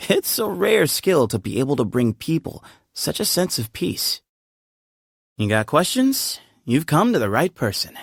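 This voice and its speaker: A cheerful, chummy young adventurer. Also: male voice, fairly high pitch, very animated, gravelly, husky, dry recording, heavily acted. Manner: playful and chummy, quick to laugh. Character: easygoing cheerful young male desert temple leader. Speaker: game character voice actor. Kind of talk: video game character voice lines. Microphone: studio microphone. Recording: dry studio booth.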